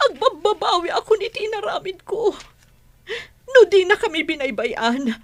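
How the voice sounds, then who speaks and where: A woman's voice: extremely high pitch, big pitch swings, normal speed, exaggerated voice. An actress playing a whining, scheming woman who is afraid of jail, in an Ilocano radio drama. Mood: anxious, regretful.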